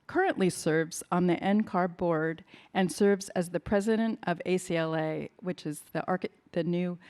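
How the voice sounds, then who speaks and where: A woman introduces the keynote speaker at a conference in a fairly low voice. She reads her notes smoothly, but once she ad-libs she stumbles through fillers and false starts. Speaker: event host introducing speaker. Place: conference hall.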